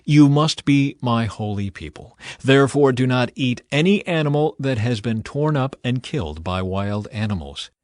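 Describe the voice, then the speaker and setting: A man reading scripture as a scripted audiobook narration, measured, solemn and clearly articulated. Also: medium pitch, expressive, no room sound, clean studio sound. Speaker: audiobook Bible reader.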